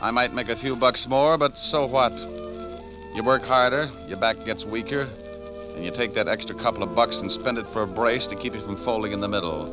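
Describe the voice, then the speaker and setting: A man's voice: on the low side, a little fast, close to an everyday voice. An American man playing a wisecracking, money-loving private detective in a breezy, sardonic 1940s radio noir style. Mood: wry. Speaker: lead actor and narrator. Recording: muffled old radio recording, music behind.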